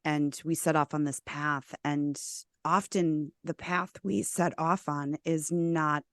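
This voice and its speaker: Woman, podcast host, low pitch, dry close sound, chatty with restarts. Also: fairly even intonation, no echo. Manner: chatty, quick asides and restarts. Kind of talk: conversational interview.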